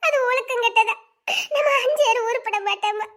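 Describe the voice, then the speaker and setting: A distraught teenage village girl in a cartoon melodrama. The voice is extremely high, almost childlike, and plaintive. She speaks emotionally, with a southern Tamil Nadu accent. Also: fairly even intonation, fairly quick, fairly bright tone. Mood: aggrieved, pleading. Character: distraught seventeen-year-old South Indian village girl, dramatic.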